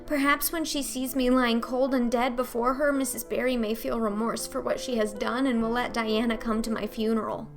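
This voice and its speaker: A woman's voice: fairly high pitch, steady tone, moderate pace, few pauses, over music, pitched above the performer's own voice, natural voice. A woman voicing a melodramatic, imaginative young girl in a storybook reading, gushing in flowery, old-fashioned phrases. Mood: mournful, resigned.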